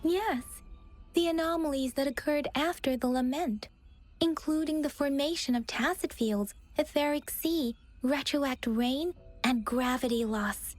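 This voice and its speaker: A woman's voice: high-pitched, a little slow, soft background music. A woman voicing an earnest, studious young investigator in a video game, explaining things thoughtfully. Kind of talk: video game character dialogue.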